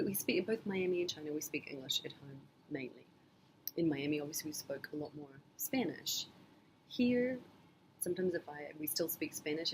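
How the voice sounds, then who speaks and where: Measured middle-aged woman, thoughtful. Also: sits low, slight background noise, rough recording. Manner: thoughtful, measured, with frequent ums. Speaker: parent being interviewed by her child. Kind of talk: casual family interview.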